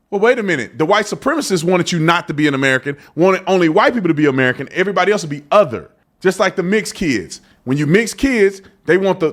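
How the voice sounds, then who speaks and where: A man hosting a casual commentary show, talking emphatically between clips and repeating himself as he argues his point. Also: fairly high pitch. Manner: animated, emphatic, repeats phrases.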